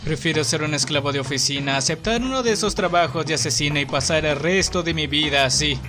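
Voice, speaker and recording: A man with a Latin American Spanish accent playing a cheeky, bitter young enforcer in an anime fan dub, with casual, expressive delivery. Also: fairly high pitch, fast, over music, close to an everyday voice. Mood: bitter, intense. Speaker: amateur fandub voice actor.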